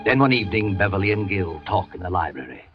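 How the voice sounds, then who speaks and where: A man narrating a radio drama in a measured, dramatic way. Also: low-pitched, animated, lo-fi sound. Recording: old radio recording with loud music.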